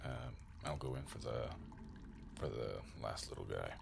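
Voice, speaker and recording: Male game player, bottom-register gravel, casual talk, noticeably noisy recording. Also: a bass voice, normal speed. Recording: noisy recording with watery ambience.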